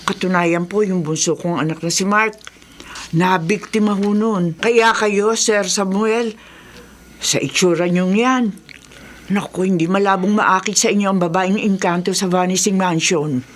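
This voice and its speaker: A woman's voice: medium pitch, fairly even intonation, measured pace, natural voice. A woman with a Filipino accent playing a deferential, superstitious housemaid in a radio drama. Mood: ominous, insistent. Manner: respectful, insistent storytelling. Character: superstitious, deferential neighborhood housemaid, a mother, earnest gossip.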